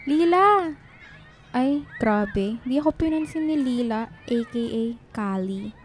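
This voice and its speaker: A woman's voice: high-pitched, expressive, slow, a little hiss or hum. A woman playing a teasing, supportive young best friend in radio drama dialogue, chatty in manner. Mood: nosy, caring.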